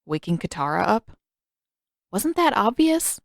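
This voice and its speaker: A woman's voice: medium pitch, very animated, a little slow, vocal fry, dry recording, clean studio sound. Woman voicing a brash, grumpy teenage big brother. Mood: indignant. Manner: indignant, pestering, exclamatory.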